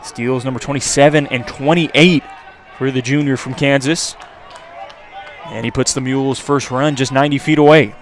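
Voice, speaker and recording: A man's voice: medium pitch, fairly even intonation, moderate pace. A man doing live radio play-by-play commentary of a baseball game from an outdoor ballpark. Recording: outdoor crowd and background noise. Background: ballpark crowd and ambient noise.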